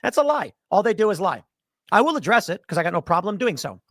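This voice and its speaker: Guy from chicago ranting about the news, high bright voice, dry close sound. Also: very animated, fast talker, dry recording. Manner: emphatic, argumentative, frequent restarts. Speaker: political commentator. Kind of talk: casual monologue commentary.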